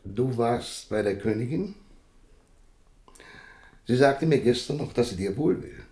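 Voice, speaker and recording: An actor in a German radio play playing a vain, ambitious royal husband with a lofty manner. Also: male voice, mid-range voice, lively intonation, a little slow, dark tone, roomy sound, close to an everyday voice. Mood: mildly defensive.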